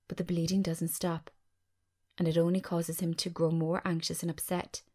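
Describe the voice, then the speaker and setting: A woman's voice: lowish voice, a little mellow. A woman reading a screenplay aloud as narrator, in a dramatic scripted style.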